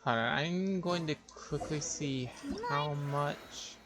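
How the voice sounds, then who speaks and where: Slow-talking man muttering while gaming. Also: fairly high pitch, over music. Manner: muttering to himself, unhurried and tentative. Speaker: streamer. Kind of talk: casual gameplay commentary.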